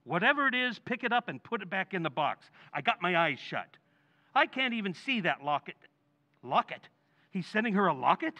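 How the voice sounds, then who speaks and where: An actor playing a blustering, gullible working-class husband with folksy, ungrammatical speech in an old-time radio comedy. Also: male voice, sits high, very animated, moderate pace, bright, forceful tone, full character voice. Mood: indignant, curious.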